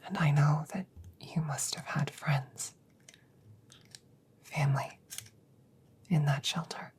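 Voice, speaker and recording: A woman playing a wounded, stoic android soldier in a whispered ASMR audio drama, speaking formally and haltingly in a hushed, breathy voice. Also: sits low, steady tone, a little hiss or hum. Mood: subdued, sorrowful. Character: wounded female android soldier, polite, stoic, quietly grieving.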